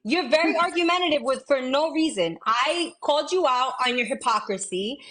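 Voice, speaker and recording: An American woman in a heated livestream debate, loud and repeating herself as she talks over the other speakers. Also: high voice, animated, normal speed. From United States. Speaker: livestream guest.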